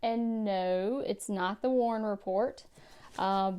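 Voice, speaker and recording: Woman, home book vlog, clear smooth voice, measured, mid pitch. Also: mellow. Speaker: book vlogger. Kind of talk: casual book tag vlog. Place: home room by bookshelves.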